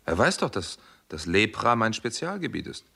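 An actor playing an earnest doctor turned sleuth in a 1960s German radio crime drama, measured and matter-of-fact. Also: male voice, fairly low pitch, very animated, gravelly, sharp tone, husky, full character voice. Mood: puzzled. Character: earnest American doctor and amateur sleuth, narrating his case.

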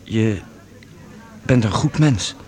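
An actor playing an honest, earnest young runaway in a medieval radio drama, hesitant and sincere. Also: male voice, lowish voice, slow pace, a little mellow. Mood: sincere, grateful.

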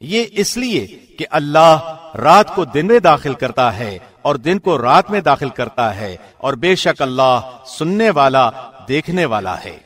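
A man reads a scripture translation from a script like a radio presenter, formal and measured, with clear phrasing. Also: fairly high pitch, very animated, fairly bright tone.

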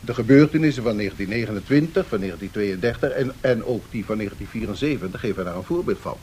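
A learned male professor, played by an actor, lectures in a 1970s radio play with a very lively melodic range. He is formal, measured and didactic, weighing each point with caution. The recording carries a faint low rumble and noise. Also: natural voice. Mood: explanatory, measured. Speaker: professor answering questions.

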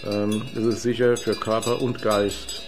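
A man speaking reflectively, as in an interview, giving gentle advice. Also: fairly low pitch, flat delivery, a little slow.

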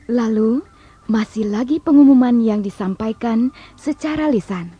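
A woman with a husky voice narrating a scripted fairy tale for children as a storyteller. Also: fairly high pitch, a little slow, warm tone.

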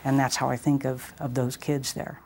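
An American woman recalling events in a halting, somber way, as in a documentary interview. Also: rumbling low, level tone, crowd noise.

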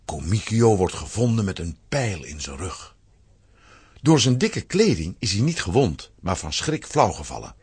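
A male narrator telling a children's adventure tale with a Flemish accent. His lowish, husky voice moves with a wide, expressive range. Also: moderate pace, no room sound. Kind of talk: scripted storytelling narration for a children's audio drama.

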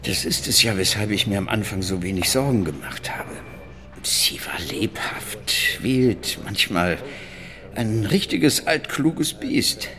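A man's voice: lowish voice, expressive, slow, pushed and bright, full character voice. An actor playing a guarded, middle-aged father being questioned in a German radio drama. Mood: guarded, reflective. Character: Alex Henry, soft-spoken father and museum man under questioning.

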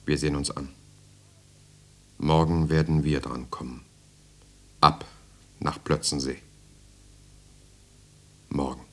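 Weary prisoner telling his story, super deep voice, hushed dramatic narration. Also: fairly even intonation, slow, long pauses, slight background noise, full character voice. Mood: grim. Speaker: actor, first-person narrator of a radio play.

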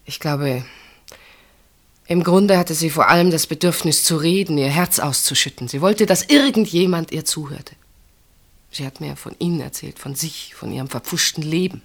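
An actress in a German radio play voicing an earnest, mature woman who speaks deliberately and confidingly, with weighted pauses. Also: deep voice. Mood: hesitant, confiding. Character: earnest older woman, sister pleading for a marriage.

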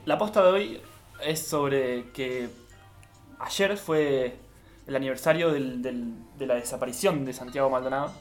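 A man with an Argentine accent talks informally on a radio panel. His voice is mid-pitched and he gropes for words, with light music behind him. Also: measured pace. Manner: hesitant, searching for words.

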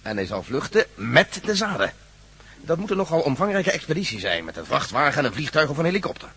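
Husky, animated shrewd strategist. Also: male voice, a little high, exaggerated voice. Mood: emphatic, triumphant. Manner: emphatic, deliberate, reasoning aloud. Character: shrewd, assertive strategist plotting against an enemy. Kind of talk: radio drama acting.

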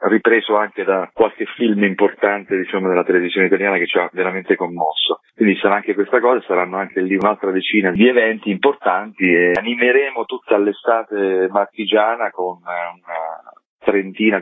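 A man's voice: lowish voice, no echo. A man answering radio interview questions spontaneously as a festival director. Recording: tinny narrow phone-like line.

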